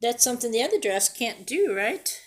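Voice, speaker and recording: A woman reading a picture book aloud to young children in an expressive, deliberate way, pausing to ask simple questions and explain things. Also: fairly high pitch. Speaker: children's storyteller and teacher.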